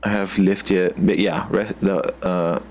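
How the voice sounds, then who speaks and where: Low-voiced Singaporean man, halting. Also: flat delivery, a little mellow. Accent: Singaporean English. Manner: loose and halting, searching for words with many "like" fillers. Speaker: guest musician. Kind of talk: casual video-call chat.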